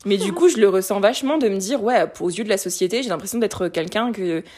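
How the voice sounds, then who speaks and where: A woman in her late twenties talking candidly in a casual conversation, lively, with many fillers and restarts. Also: mid-range voice, fast. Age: late 20s.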